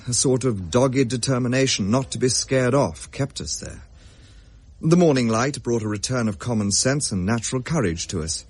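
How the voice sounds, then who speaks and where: An actor playing a gentleman narrator who recounts his own ghost story in measured, formal period storytelling, with a husky voice. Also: lowish voice, lightly acted.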